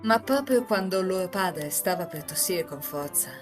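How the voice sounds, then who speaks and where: A woman reading as a composed, enigmatic housemaid who narrates a visual novel tale in formal, measured sentences. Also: on the low side, expressive, over music, lightly acted.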